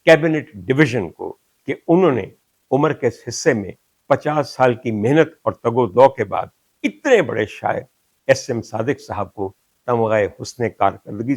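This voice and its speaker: Older Pakistani male host, dry close sound, declaims poetry with slow emphasis. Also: mid-range voice, no echo. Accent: Pakistani Urdu. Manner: declaiming Punjabi verses slowly and emphatically. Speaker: talk show host. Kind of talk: reflective monologue.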